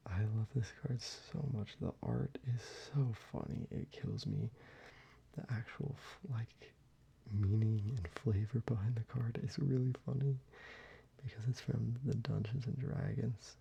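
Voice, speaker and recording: A man whispering softly into a close microphone in a soft-spoken ASMR video, with quiet chuckles along the way. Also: medium pitch, creaky, slightly rough. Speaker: ASMR creator.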